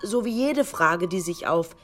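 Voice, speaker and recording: A woman with a slightly low voice narrates a radio feature in pensive, literary asides. Music plays clearly behind her. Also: lively intonation, measured pace, a bit bright. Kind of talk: scripted reflective commentary in a radio feature.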